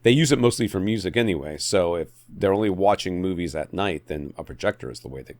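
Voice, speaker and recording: A man with a low voice that moves around a lot in pitch co-hosts a podcast. His delivery is informal and joking, like casual chat over listener questions. The sound is close and dry. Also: lively intonation, fast talker, darkish tone, no room sound. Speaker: podcast co-host.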